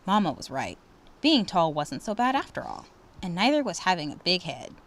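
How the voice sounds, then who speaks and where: Animated woman reading a children's story. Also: lowish voice, moderate pace. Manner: animated, dramatic. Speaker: storybook reader. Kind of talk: expressive children's read-aloud.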